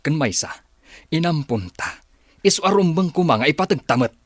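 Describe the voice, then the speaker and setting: An actor as an earnest, protective family man in a radio drama. His husky, fairly high voice swings expressively as he pleads. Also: expressive, brisk, dry recording, clean studio sound. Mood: reproachful. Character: protective, pleading husband and father. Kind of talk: Ilocano radio drama acting.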